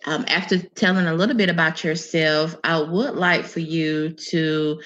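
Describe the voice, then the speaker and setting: A woman's voice: sits low, steady tone, moderate pace, a bit bright, muffled recording. A woman hosting a casual interview, upbeat and encouraging, with many fillers. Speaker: interview host. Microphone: video call.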